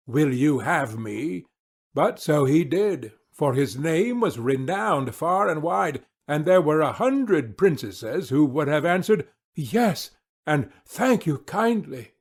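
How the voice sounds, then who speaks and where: A man narrating a storybook audiobook in a measured, theatrical way. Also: medium pitch.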